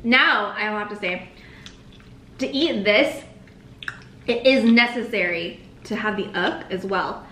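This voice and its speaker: Bright, laughing young woman chatting over dinner. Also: medium pitch. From United States. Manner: laughs often, teaches slow careful phrases. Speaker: co-host of a food vlog. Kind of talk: casual chat. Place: bright dining room indoors, at a table. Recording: echoey room, faint background hiss.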